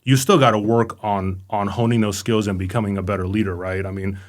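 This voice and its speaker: A man's voice: on the low side, fairly even intonation, a little fast. A middle-aged man from Romania, raised in Los Angeles, chatting casually as an interview guest, filling his speech with "right?" and "you know". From born in Romania, raised in Los Angeles. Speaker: guest, command sergeant major.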